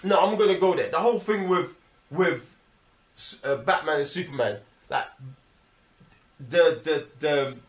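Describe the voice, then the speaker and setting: Expressive London man, high voice, distant and echoey. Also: measured pace, pauses often. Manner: expressive, checks listener understands. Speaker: film review podcast co-host. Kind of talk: casual slangy discussion. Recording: echoey room, distant microphone.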